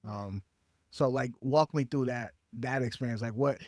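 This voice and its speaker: An American radio interviewer from Minnesota asking questions casually and haltingly, often searching for words. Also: medium pitch, a little mellow, dry recording, clean studio sound. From Minnesota, USA.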